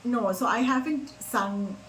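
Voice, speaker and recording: An Indian-accented woman speaks as an interview guest in a fairly high voice. She talks conversationally and emphatically, repeating words for stress. The room is noticeably echoey. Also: unhurried, a bit bright, a little hiss or hum. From Singapore and Bombay, India. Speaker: interview guest, Carnatic singer.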